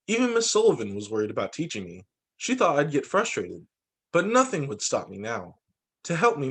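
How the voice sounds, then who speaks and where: A man reading a picture book aloud to children as a teacher, in an expressive, dramatizing way. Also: fairly high pitch, slightly rough. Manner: expressive, dramatizing quoted lines.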